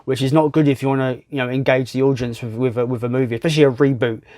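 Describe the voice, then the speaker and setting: A man's voice: mid-range voice, steady tone, racing, a little mellow. A man reviewing films casually, blunt and sweary, repeating himself for emphasis. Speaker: film reviewer, video host. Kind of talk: casual film ranking commentary.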